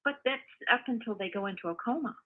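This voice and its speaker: An older woman calling in by phone to give her opinion, halting and earnest, often repeating herself. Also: fairly high pitch. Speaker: caller, mother of the host. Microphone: phone call. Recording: muffled, narrow phone call audio.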